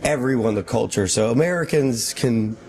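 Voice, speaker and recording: A man co-hosting a casual conversation, agreeable and quick to say "yeah", asking the guest questions. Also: medium pitch, darkish tone. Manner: agreeable, frequent short "yeah".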